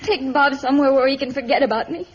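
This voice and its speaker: A woman's voice: high voice, fast, close to an everyday voice. An actress playing a tender, grieving young woman, plaintive and melodramatic, in an old radio serial. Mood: subdued grief. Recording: muffled, slightly noisy vintage radio recording.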